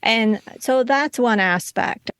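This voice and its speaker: A woman's voice: a little high, slow, a bit bright, smooth, no room sound. A woman in her forties from Colombia, a Spanish native speaker, telling her story as a podcast guest in a reflective, restarting way. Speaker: podcast guest, financial counselor. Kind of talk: casual interview conversation.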